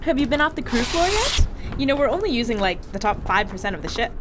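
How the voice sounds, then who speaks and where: A woman's voice: fairly high pitch, sing-song, fast, very noisy. A woman playing a chatty, teasing female crewmate who laughs easily. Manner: bubbly, teasing, laughs often. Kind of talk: audio drama acting.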